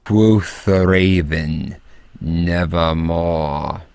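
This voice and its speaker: A man's voice: sits low, vocal fry, darkish tone, slight background noise, voice pushed down, full character voice. A man voicing a grim, ominous figure, solemnly drawing out the refrain of a horror poem. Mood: grim, foreboding. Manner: slow, solemn, drawn-out. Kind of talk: theatrical recitation of horror poetry.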